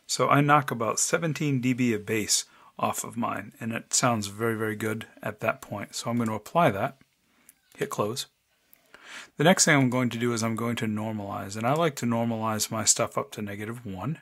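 Male tutorial host, deep voice, casual and methodical screencast narration into a desk mic. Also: moderate pace. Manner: relaxed, talks through each click step by step. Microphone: desk microphone, voice-over of screen recording.

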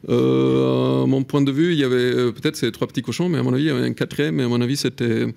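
A middle-aged man with a gravelly, creaky voice speaks into a studio microphone on a radio panel. He talks in a thoughtful and wry way, pausing on fillers as he builds his point. His pitch is ordinary for a man. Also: fairly even intonation, darkish tone. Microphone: studio mic on stand.

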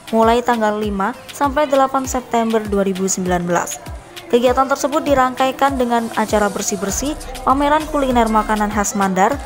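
Woman reading news over music. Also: a little high. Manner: even, measured read. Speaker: news reporter. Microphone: studio voice-over mic. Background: background music.

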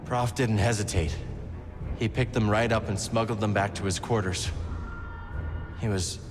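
Man acting a young hero, low voice, earnest, determined, naturalistic game acting, music behind. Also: fairly even intonation, normal speed, close to an everyday voice.

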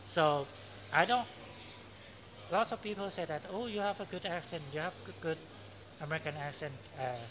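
A man in his thirties with a Thai accent, talking slowly and searching for words in a casual interview in a cafe. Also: a little high. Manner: unhurried, searching for words, frequent fillers. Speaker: interviewee, English teacher. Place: cafe by large windows, indoors. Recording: noisy cafe ambience.

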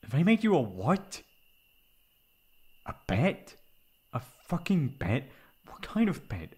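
A man playing a caring, protective young best friend in an intimate ASMR roleplay, with a soft, breathy voice. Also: a little high, sing-song, slow, full character voice. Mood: outraged. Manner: intimate, comforting, casual. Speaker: voice actor.

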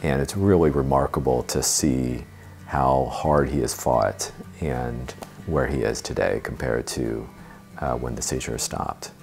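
Deep gravelly man on a sofa. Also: soft background music. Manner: measured, steady telling with frequent uh fillers. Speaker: parent interviewee. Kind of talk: interview testimonial. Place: living room, seated on a sofa.

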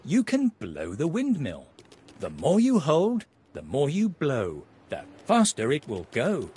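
Very animated game narrator. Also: medium pitch, slow, husky. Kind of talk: scripted children's game instructions.

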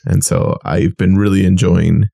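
A young man with a slightly low voice chatting on a podcast. He rambles as he talks and keeps filling pauses with "like.". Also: level tone, vocal fry, mellow. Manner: rambling, full of "like". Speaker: podcast co-host. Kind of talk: casual chat.

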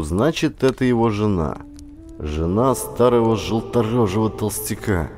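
A man narrating a historical adventure audiobook as a scripted, expressive dramatic storytelling. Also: sits low. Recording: clear ambient sound effects behind voice. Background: ambience sound effects.

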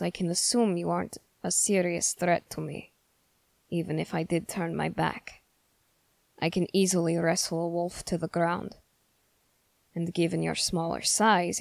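A woman voicing a stern, wary young male soldier in measured, formal, halting phrases for an ASMR roleplay. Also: fairly low pitch, gravelly, darkish tone, no room sound. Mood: guarded, curious. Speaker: roleplay voice performer. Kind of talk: ASMR character roleplay addressed to the listener.

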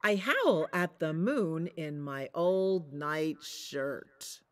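Woman doing big daddy wolf, playful, chanting slow n rhythmic. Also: deep voice, lively intonation, heavily acted. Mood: sing-song.

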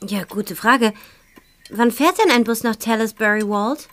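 A woman's voice: fairly high pitch, a little slow, a bit bright. An actress playing a sensible, polite young girl in a children's radio play. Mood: thoughtful.

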